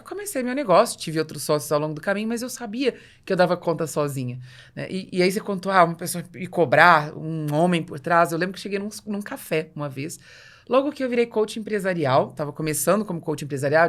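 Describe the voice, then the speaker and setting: A Brazilian woman hosting a podcast interview, telling personal anecdotes in an animated way and acting out dialogue. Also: on the low side, fairly quick. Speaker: podcast host and business coach.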